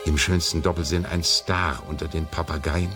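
A man's voice: very deep voice, steady tone, moderate pace. An actor with a Hamburg accent playing a man who expounds a mysterious case in a theatrical audio drama. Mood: measured, intrigued. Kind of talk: German audio drama acting. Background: music.

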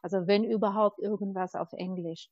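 A woman's voice: mid-range voice, steady tone, brisk, darkish tone, dry recording, dull audio. A woman in her thirties from Albania with an Albanian accent, speaking as an interview guest, often hesitating with fillers and restarts. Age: 30s. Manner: hesitant, many ums and restarts. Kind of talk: conversational interview.

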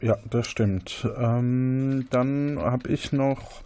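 Man, game master, mellow and calm, guiding. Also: medium pitch, extremely slow. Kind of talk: running a tabletop game.

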